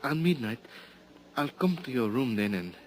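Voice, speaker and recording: An actor playing a nervous, deferential young hotel bellboy in a 1950s radio drama. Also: male voice, mid-range voice, very animated, normal speed, a little hiss or hum, close to an everyday voice, poor audio. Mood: eager. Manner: hesitant, deferential, says senor often.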